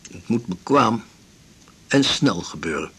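Weary, husky old seaman. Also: lowish voice, expressive, a little slow, bright, forceful tone, exaggerated voice. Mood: resolute, grave. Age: 70s or older. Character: elderly widowed retired seaman, weary, blunt, resolute. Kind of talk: 1980s Dutch radio drama acting.

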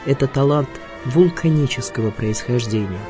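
Male narrator, mid pitch, scripted audio biography, measured literary declamation with music underneath. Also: animated.

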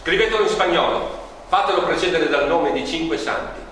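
A man's voice: on the high side, a bit bright, close to an everyday voice. An actor playing a proud, insistent father in a theatrical, emphatic, declaiming manner. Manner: emphatic, declaiming, spells out slowly. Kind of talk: theatrical stage acting. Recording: echoey hall from far off, faint noise.

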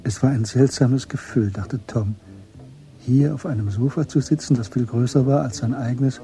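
A man narrating a literary radio drama from a script in a measured, understated way. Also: mid-range voice, dark tone.

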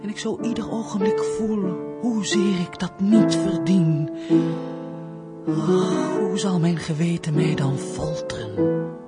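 An actress playing a gentle, melancholy castle housekeeper in a Dutch radio play, speaking in an earnest, refined, pleading manner. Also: female voice, lowish voice, slow, loud music behind the voice. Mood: anguished, brooding.

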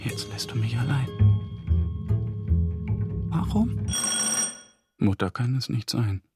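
An actor playing a melancholy German male teacher in a radio drama, with a halting, brooding manner. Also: mid-range voice, very animated, loud music behind the voice. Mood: anguished, pleading. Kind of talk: literary radio drama acting.

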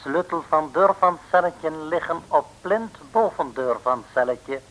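An actor playing a sly, cringingly polite foreign villain in an old radio serial, talking in broken, formal phrases. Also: male voice, fairly high pitch, measured pace. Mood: sly, flattering. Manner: broken grammar, self-abasing flattery, formal address. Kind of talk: 1960s radio serial acting. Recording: noisy old radio recording.

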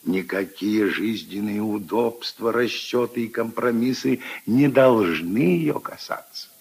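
A man's voice: on the low side, slow, creaky, a little mellow, slightly rough, exaggerated voice. An older man playing a kindly, opinionated old grandfather who muses wistfully and emphatically in a 1950s radio drama. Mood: gentle, earnest. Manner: ruminative, emphatic, intimate storytelling to his granddaughter. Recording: 1950s radio play recording.

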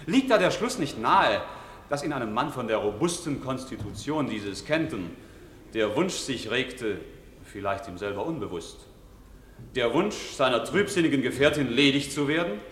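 Defence lawyer, male actor, 1950s radio play: formal, insistent, highly animated oratory, long hall reverb. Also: moderate pace, natural voice. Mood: pressing. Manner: rhetorical, formal, pressing questions. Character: insistent, eloquent male defence lawyer in an old London courtroom. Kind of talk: 1950s German radio drama acting, courtroom oratory. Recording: echoey hall, faint hiss, old radio recording.

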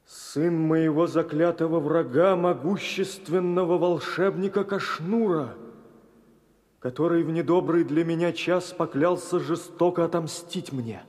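High-voiced theatrical stork caliph. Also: male voice, a little mellow. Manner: theatrical, exclamatory. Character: excitable caliph turned into a stork, lordly and lamenting. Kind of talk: audio play acting of a fairy tale. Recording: long reverberant hall sound with music.

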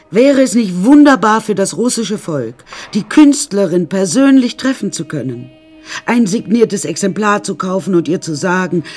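A woman's voice: fairly low pitch, darkish tone. A vain, self-important woman addresses her listeners in a radio play. Her middle-aged voice swoops widely and theatrically, full of deluded grandeur, with some music in the recording. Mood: gushing, boastful. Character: vain, deluded woman artist confined in an asylum.

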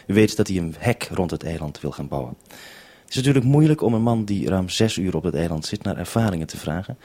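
A Dutch man with a deep, relaxed voice presents on the radio in a wry manner. The sound is close and dry, and he puts his questions with dry irony. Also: fairly quick, dry recording. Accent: Dutch, from the Netherlands. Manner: wry, dryly ironic. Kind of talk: radio report and two-way interview.

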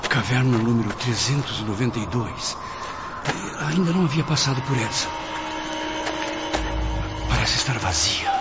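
A man's voice: medium pitch, expressive, normal speed, pauses often, natural voice. A man voicing a tormented male suicide who tells his own ordeal in dramatic first-person narration. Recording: dull lo-fi with loud music.

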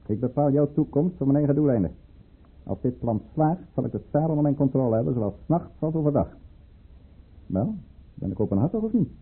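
An actor playing a smooth, domineering schemer of a count in a Dutch radio play, theatrical and calculating. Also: male voice, mid-range voice, expressive, a little fast. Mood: suave, cold. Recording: muffled old radio play recording.

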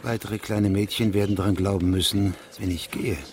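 An actor playing a grave, persistent investigating judge in a German radio play, questioning insistently in a husky, breathy voice. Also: male voice, fairly low pitch, fairly even intonation, moderate pace, a little hiss or hum, close to an everyday voice.

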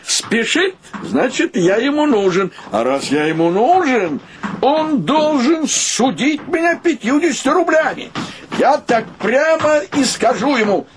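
An actor playing a breezy, quick-witted man short of money in a comic radio play, jaunty and mock-courteous. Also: very high voice, slight background noise, exaggerated voice. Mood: eager, scheming.

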